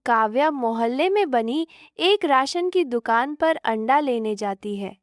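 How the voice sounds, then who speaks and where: High-pitched, unhurried woman storyteller. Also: expressive, no echo, studio quality. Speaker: narrator. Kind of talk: scripted story narration.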